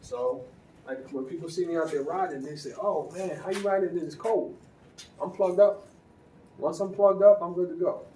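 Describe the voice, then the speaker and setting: A man chats through a riding gear review in an unhurried, conversational way, stumbling and restarting now and then. His voice is mellow and relaxed. It sounds a bit distant and roomy, with faint ambience underneath. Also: fairly high pitch, a little hiss or hum. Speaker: motorcycle vlogger.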